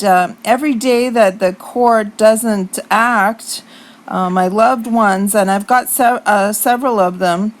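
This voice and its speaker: A woman from Texas leaving a semi-scripted phone message, polite and earnest, speaking haltingly. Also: mid-range voice. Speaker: caller leaving a voicemail for a court.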